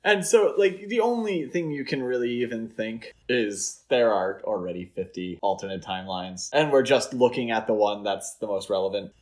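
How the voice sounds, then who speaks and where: A man's voice: mid-range voice, normal speed, hardly any pauses. Casual man explaining his opinions. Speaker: podcast co-host and article writer. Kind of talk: casual film discussion podcast.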